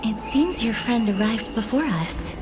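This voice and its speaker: An actress playing a reserved, soft-hearted young woman in a video game, earnest, gentle and polite. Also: fairly high pitch, lively intonation, unhurried. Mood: polite, purposeful. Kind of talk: video game character dialogue. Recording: clear music behind the voice.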